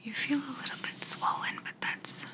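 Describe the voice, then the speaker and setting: A woman playing a polite, curious alien examiner in an ASMR roleplay, speaking in a soft, breathy whisper with a gentle, clinical, faintly bemused manner. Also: moderate pace, close to an everyday voice, rough recording. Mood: soothing, clinical.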